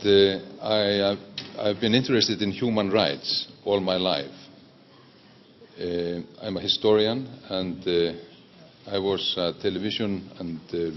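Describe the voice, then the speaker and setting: A man speaks in a lowish voice and makes his points at a deliberate pace. The recording is dull and lo-fi, with faint noise behind it. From Iceland. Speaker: panelist, politician. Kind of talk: conference panel remarks.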